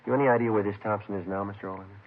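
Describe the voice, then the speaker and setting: A clipped, deadpan detective sergeant in an old radio crime drama. His voice sits very low and moves quickly, on a muffled vintage recording with music. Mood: businesslike. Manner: terse, matter-of-fact, deadpan. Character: clipped, businesslike male police sergeant detective. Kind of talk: 1950s radio drama acting with terse narration.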